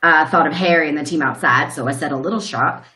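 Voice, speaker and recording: Rural farmer witness, played by a woman, low and bright, folksy radio drama storyteller. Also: fast. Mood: folksy, puzzled. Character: plain-spoken rural male farmer recounting a shock. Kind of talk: radio play acting. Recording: roomy, echoing sound.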